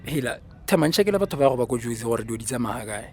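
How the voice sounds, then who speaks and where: An actor playing a friendly young groom-to-be with a Botswana accent, talking casually and slangily in a radio drama. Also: male voice, mid-range voice, expressive, very fast, slight background noise, natural voice. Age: young adult.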